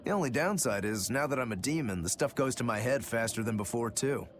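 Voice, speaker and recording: A man's voice: mid-range voice, expressive, brisk, slight background noise, lightly acted. An actor playing an easygoing, teasing male demon swordsman who likes his drink, in a video game. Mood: wistful, amused. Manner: wry, teasing, laid-back. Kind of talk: English video game voice acting.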